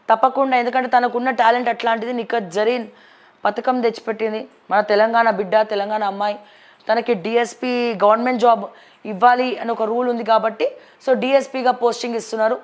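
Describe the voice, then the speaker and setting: High-pitched Telangana woman news presenter. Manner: conversational, outspoken, mixes in English words. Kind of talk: reading headlines with informal opinionated commentary.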